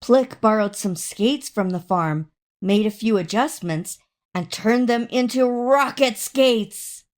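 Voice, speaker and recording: A woman reading a children's story aloud in an expressive, dramatic way, drawing out words for emphasis. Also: on the high side. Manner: dramatic, with drawn-out emphasis and acted sound words. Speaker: storybook reader.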